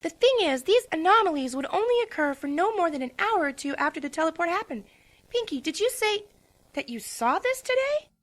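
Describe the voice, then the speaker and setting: A woman voicing an exhausted, scholarly female unicorn who explains in tired, halting bursts. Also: very high voice, big pitch swings. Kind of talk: fan dramatic reading.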